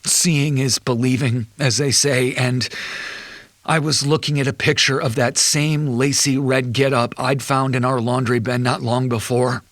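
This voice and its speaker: An actor playing a betrayed husband, an accountant, in a measured, wry and bitter confession for an audio drama. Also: male voice, mid-range voice, steady tone, a bit bright, no echo, close to an everyday voice. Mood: resigned, wry. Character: betrayed husband, an accountant recounting his wife's affair.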